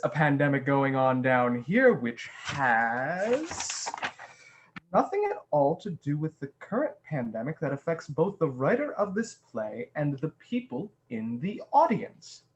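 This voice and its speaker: A man's voice: medium pitch, a little hiss or hum, close to an everyday voice. Man playing a theatrical, swooping, sardonic Satan. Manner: theatrical, sardonic, casual swearing. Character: chatty, theatrical male Satan hosting his demons. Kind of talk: comic stage monologue over video call.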